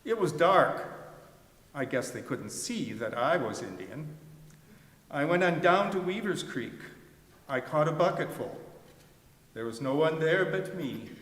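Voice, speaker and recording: A man's voice: fairly high pitch, a bit bright. A man reading the part of a plainspoken, wry fisherman husband in a scripted readers theater piece. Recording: echoey hall with long reverb.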